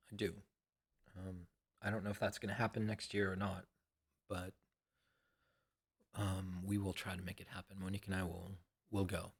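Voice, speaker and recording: A man's voice: lowish voice, fairly bright tone. A man in his sixties talks to viewers in a loose, rambling monologue, repeating phrases and swearing casually. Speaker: vlogger.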